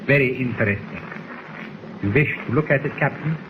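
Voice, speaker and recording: An actor playing a cold, smug foreign spy leader with a German accent, menacing and mock-courteous, in 1940s radio drama style. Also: male voice, medium pitch, a little fast, natural voice. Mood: coolly gloating. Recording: scratchy tinny 1940s radio recording, heavy noise.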